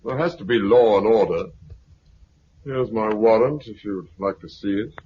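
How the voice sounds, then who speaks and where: An actor with a British accent playing a smooth, officious, condescending self-appointed commander in a 1950s radio drama. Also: male voice, lowish voice, moderate pace, lightly acted. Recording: muffled, hissy 1950s radio recording.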